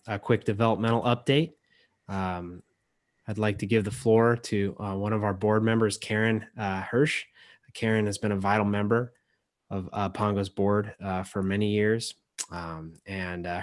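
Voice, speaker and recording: A man emceeing an informal online event, speaking haltingly with frequent pauses. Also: fairly low pitch, no echo. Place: indoors, remote video call. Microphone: video call.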